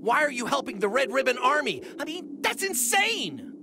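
A man playing an earnest, excitable small martial-arts fighter in a video game, expressive and pleading. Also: extremely high pitch, moderate pace, background noise. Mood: amazed, hopeful.

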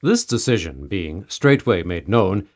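Man narrating with lively, swooping intonation. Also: sits low, measured pace. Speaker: audiobook narrator. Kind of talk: scripted literary narration.

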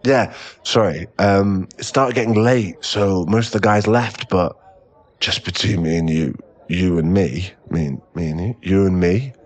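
A man acting a shy, stammering young boyfriend in an ASMR roleplay audio drama. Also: sits low. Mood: tipsy, playful. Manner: halting, stammering, repeats words. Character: young male millionaire boyfriend, shy, later tipsy and clingy.

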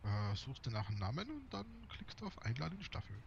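A man's voice: medium pitch, wildly swooping pitch, fast. A man in casual, joking gaming chat over online voice chat. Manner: joking, teasing. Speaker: game streamer. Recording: muffled voice chat with clear background noise.

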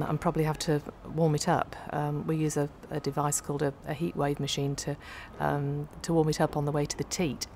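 Low-voiced middle-aged woman, plain-spoken. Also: darkish tone, a little hiss or hum. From United Kingdom. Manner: frequent ums, practical and plain-spoken. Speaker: calf nutrition specialist. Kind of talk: explaining to an off-camera interviewer. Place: farm feed store, indoors.

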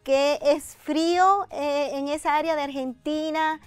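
A woman's voice: high-pitched, steady tone, unhurried, no room sound, soft background music. A middle-aged woman hosting a conversational interview programme in a studio. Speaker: programme host. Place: indoor TV studio set with armchairs.

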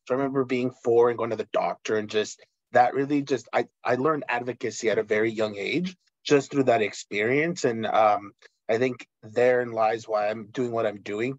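A man's voice: mid-range voice, steady tone, normal speed, no room sound, lo-fi sound. A man from Texas, speaking sincerely as a panelist on a video call.

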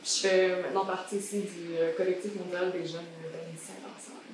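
A woman's voice: on the low side, level tone, a little fast, slight background noise. Young woman, Québécois accent, reflective, echoey room, heard from a distance. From Montreal, Quebec. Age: young adult. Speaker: university student, panel guest. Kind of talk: casual panel discussion.

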